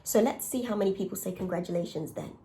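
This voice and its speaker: Raspy, mellow young woman chatting candidly. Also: mid-range voice, fast talker. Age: young adult. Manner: candid, conversational, emphatic with rhetorical questions. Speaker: podcast host and content creator. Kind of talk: casual confessional solo chat.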